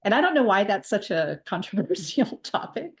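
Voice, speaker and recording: A woman's voice: medium pitch, sing-song, normal speed. A woman academic on a panel over a video call, earnest and insistent, repeating words for emphasis. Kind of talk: panel discussion.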